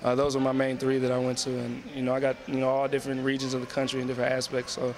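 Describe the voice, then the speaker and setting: A man's voice: mid-range voice, completely flat delivery, rapid-fire, a little mellow. A young man, a college basketball player, answering post-game interview questions politely with lots of "you know" fillers, on a handheld mic in an arena concourse. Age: young adult. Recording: crowd noise behind.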